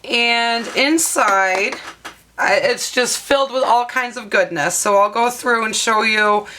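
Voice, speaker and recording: A woman with a hoarse, half-lost voice, chatting through a craft tutorial at her table and showing items with plenty of ums and uhs. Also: a little high, slow, noisy background. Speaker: craft vlogger. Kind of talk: casual show-and-tell craft tutorial.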